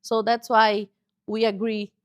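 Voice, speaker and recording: A woman in her forties from Brazil, whose first language is Portuguese, speaking English with a Brazilian accent in a TV interview and pausing often to find words. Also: a little high, little pitch movement, clean tone, no echo, muffled recording. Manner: halting, searching for words, cheerful. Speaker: guest author. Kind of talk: casual interview chat. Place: local TV studio.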